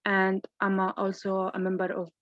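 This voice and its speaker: Young woman from Gaza, halting speech. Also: medium pitch, monotone, a bit bright, no room sound. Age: young adult. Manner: halting, frequent restarts. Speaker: student panelist. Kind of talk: online event talk. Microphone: video call. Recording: dull, dry video call audio.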